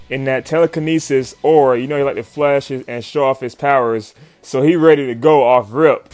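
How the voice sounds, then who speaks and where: A man giving a casual, excited comic recap, full of slang, swearing and chuckles. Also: mid-range voice, no room sound. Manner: slangy, swearing, often chuckling hype talk. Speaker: YouTube comic commentator. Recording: background music under voice. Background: music.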